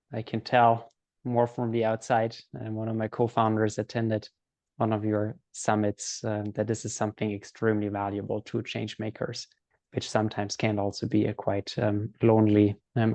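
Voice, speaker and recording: A man hosting an interview, asking questions in a flat, hesitant way with ums. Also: on the low side, normal speed, gravelly, no room sound. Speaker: interview host.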